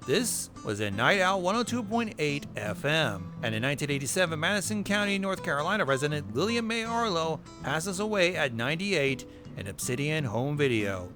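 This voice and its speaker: A man's voice: on the high side, very animated, normal speed, hardly any pauses. A man hosting a narrated recap, with wry asides and casual swearing. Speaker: video host. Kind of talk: narrated recap of horror web series. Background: music bed.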